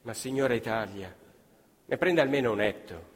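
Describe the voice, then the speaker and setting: An actor playing a kindly village shopkeeper in a stage play, speaking softly and patiently. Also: male voice, a little fast, close to an everyday voice. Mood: gentle. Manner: soft, low, patient. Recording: live theatre with long reverb.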